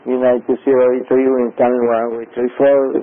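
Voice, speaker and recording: A man, an air traffic controller, giving halting, self-correcting instructions over a tinny radio. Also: medium pitch, flat delivery. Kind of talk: radio phraseology.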